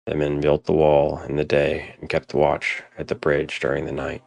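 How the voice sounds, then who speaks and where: A man narrating a scripted dramatic story in a solemn, measured storytelling manner. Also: very deep voice, monotone, creaky, a little mellow.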